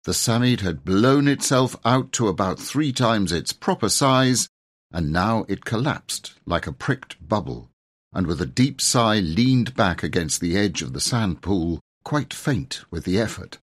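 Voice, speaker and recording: A man narrating a children's storybook expressively, with measured, dramatic emphasis. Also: fairly low pitch, expressive. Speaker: audiobook narrator.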